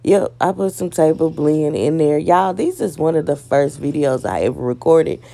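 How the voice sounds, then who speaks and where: A woman chats her way through a home recipe, easygoing and talkative. Her voice sits low and dark, with a gravelly fry running under it. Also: normal speed. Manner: relaxed, chatty, talks viewers through steps. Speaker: home cooking vlogger. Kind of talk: casual cooking vlog, voice-over narration. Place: home kitchen.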